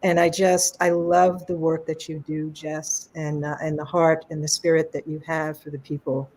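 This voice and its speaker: A woman's voice: low voice, level tone, moderate pace, a little mellow. A woman in a casual online conversation, speaking in an enthusiastic, rambling way about a colleague and partner work. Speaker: co-presenter.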